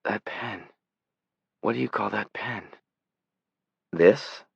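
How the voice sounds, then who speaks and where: A man's voice: fairly low pitch, slow pace, vocal fry, slightly rough, no room sound, voice pushed up, full character voice. Young male patient, groggy yet insistent, audiobook delivery, dry sound; male reader playing him. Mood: drowsy, urgent.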